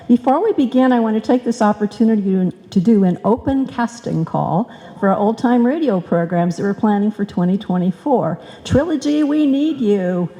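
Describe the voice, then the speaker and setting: Female troupe director, soft-edged mellow voice, chatty and joking from the stage, long hall reverb. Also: medium pitch. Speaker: director of a radio players group. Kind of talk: informal speech to a live audience.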